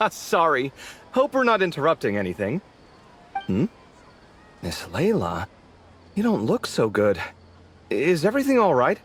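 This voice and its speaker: A man's voice: on the high side, huge pitch swings, measured pace, long pauses, fairly bright tone, slight background noise, heavily acted. A man voicing a friendly, sarcastic young male architect in a game, chatty and teasing. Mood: warm. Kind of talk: game voice acting.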